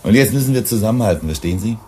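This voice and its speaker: Hard-nosed company chief for a sci-fi radio play, male actor: deep, gruff, dismissive. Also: expressive, rapid-fire, mellow, slight background noise, close to an everyday voice. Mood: insistent. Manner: gruff, dismissive, self-assured. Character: blunt hard-nosed mining company boss on Venus. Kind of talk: German radio play acting.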